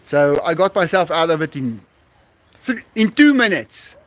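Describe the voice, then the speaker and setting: A South African man chats casually with his livestream viewers in a high, lively voice. He speaks slowly, laughs often and keeps restarting his sentences. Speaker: livestream host. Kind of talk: casual live-stream chat with viewers.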